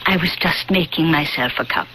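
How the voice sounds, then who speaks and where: A woman's voice: low voice, normal speed, lightly acted. An actress playing an eerie, weary old woman in a 1970s radio drama, speaking in a pensive, insinuating way. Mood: probing, wistful. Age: older. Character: eerie, weary old woman next door, secretly sinister. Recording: noisy vintage radio broadcast recording.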